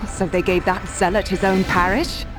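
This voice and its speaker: Actress doing a sharp-tongued sceptical game heroine, biting, average pitch for a woman, music under it. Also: flat delivery, moderate pace, natural voice. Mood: indignant, scornful. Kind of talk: video game cutscene voice acting. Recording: music behind the dialogue.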